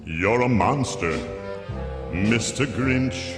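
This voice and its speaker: A man with a booming, dark and creepy bass sings slowly, stretching out each line. He is recorded in a roomy old soundtrack with long reverb, and loud jazzy band music plays behind him. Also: animated. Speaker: singer. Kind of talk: sung cartoon song with spoken asides.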